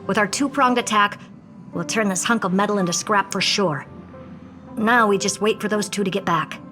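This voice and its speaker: A self-assured woman voiced by an actress going over plans, mid-pitched and businesslike. She sounds certain of success. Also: moderate pace, music in the background, lightly acted. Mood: brisk. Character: brisk, confident woman planning a mission. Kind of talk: game voice acting.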